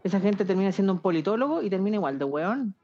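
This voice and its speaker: A woman's voice: medium pitch, lively intonation, fast talker, warm tone, highs cut off, faint music behind. A woman with a Chilean accent co-hosting a podcast in blunt, crude, sardonic casual chat. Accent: Chilean Spanish. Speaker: podcast co-host.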